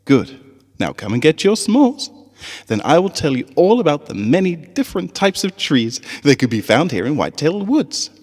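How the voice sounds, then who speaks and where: Man as a firm, kindly mare schoolteacher, raised pitch. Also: very animated, moderate pace, big-room sound. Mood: relieved. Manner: gently firm, instructing.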